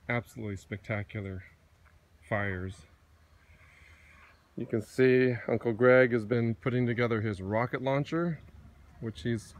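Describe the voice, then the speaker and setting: A man's voice: medium pitch, slight background noise. A middle-aged man casually walking through his outdoor campsite, filming on a handheld phone and pointing out gear, sometimes correcting himself. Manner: unhurried, pointing things out, small self-corrections. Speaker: camper giving a campsite tour. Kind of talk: casual walkthrough narration. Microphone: handheld phone camera, speaker behind it.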